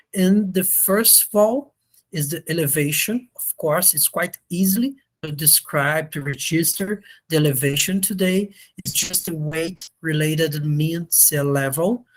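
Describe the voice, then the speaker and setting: Slow-talking lecturer with an under-the-weather voice. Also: medium pitch. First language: not English. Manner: hesitant non-native English, checks understanding with frequent "okay?". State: slightly sick, voice not at its best. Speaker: university lecturer. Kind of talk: online lecture, explanatory. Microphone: video call.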